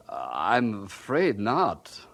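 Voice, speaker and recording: A man's voice: fairly low pitch, creaky, fairly bright tone, exaggerated voice. An actor playing a polite, flustered missionary in his thirties in an audio drama, hesitant and stammering. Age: 30s.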